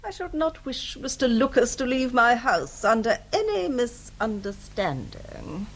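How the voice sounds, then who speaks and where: A woman's voice: on the high side, big pitch swings, a little slow, a little hiss or hum. A woman acting a haughty, menacing wealthy lady in a radio drama, cool, formal and condescending. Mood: coldly formal.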